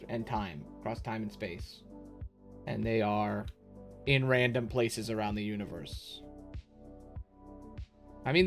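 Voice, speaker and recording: Casual male streamer, music behind. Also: mid-range voice. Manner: informal, slangy, thinking out loud. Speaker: streamer reacting to a game story. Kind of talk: casual live reaction commentary.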